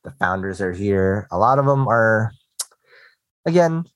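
A man from the Philippines who speaks with an American-sounding accent he learned at a call center, hosting a casual interview with emphatic, animated delivery. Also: lowish voice, measured pace, no room sound, lo-fi sound. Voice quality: animated, emphatic, laughs often. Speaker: podcast host.

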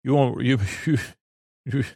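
A man hosting a podcast in a rambling, informal bedtime monologue, halting often, interrupting himself and drifting into tangents. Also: mid-range voice, fairly even intonation, creaky, dark tone, no echo, studio quality. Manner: meandering, halting, self-interrupting with trailing tangents. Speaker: podcast host.

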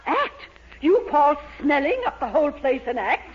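An actress playing a prim, indignant middle-aged female neighbor, with huffy lines and wide swings in pitch. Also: big pitch swings, moderate pace. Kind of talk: 1940s radio comedy acting. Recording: dull old radio recording with hiss.

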